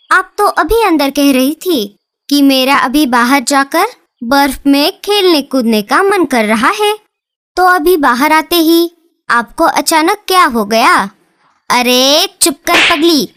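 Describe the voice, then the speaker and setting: A woman voicing cartoon birds, speaking in a slow, sing-song storybook way. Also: high voice, lively intonation, fairly bright tone. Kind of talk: cartoon dialogue acting.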